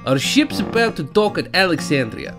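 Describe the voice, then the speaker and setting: Bossy male troupe rogue played by a woman: lowish, brisk-mannered briefing, fantasy audio drama with music behind. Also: big pitch swings. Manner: take-charge, briefing the crew. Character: brash, bossy male member of a thieving theatre troupe. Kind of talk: theatrical fantasy audiobook acting.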